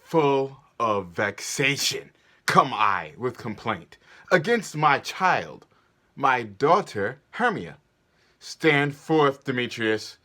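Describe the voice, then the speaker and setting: Man as stern older father, indignant, declamatory, sharp tone, animated pitch, stage reading. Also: slow, full character voice. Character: stern, vexed old father demanding his ancient rights. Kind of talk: theatrical Shakespearean stage reading.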